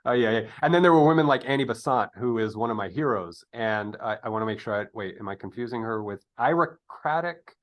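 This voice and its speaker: A man hosting an interview in casual conversation, digressive and halting, often correcting himself with a jocular streak. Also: medium pitch, animated, lo-fi sound. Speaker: podcast interview host. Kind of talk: casual interview chat with rambling history asides.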